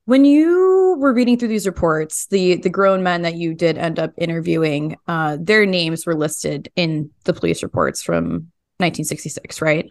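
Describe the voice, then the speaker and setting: A woman's voice: on the low side, expressive, moderate pace, few pauses, dry recording, studio quality. A woman hosting a podcast, presenting and asking questions in a conversational interview style. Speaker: podcast host interviewing reporters. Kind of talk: scripted intro and conversational interview.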